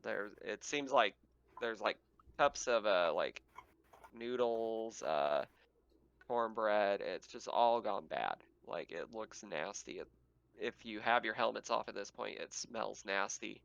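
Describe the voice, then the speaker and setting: A man narrating a tabletop roleplaying game as game master, describing scenes in a casual, halting way. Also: on the low side, lively intonation, gravelly, a bit bright, lo-fi sound.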